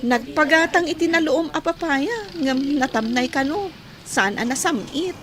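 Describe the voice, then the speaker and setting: An actress playing a questioning, concerned young sweetheart in a radio drama. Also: female voice, sits high, animated, unhurried, background noise. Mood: skeptical. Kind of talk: Philippine radio drama acting. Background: music under the voice.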